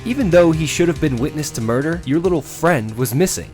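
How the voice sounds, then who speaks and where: A man's voice: on the high side, animated, brisk, a little mellow, soft background music, natural voice. A man voicing an eager young defense lawyer in a theatrical video game fan dub, dramatic, with wry, exasperated asides. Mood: determined, bluffing.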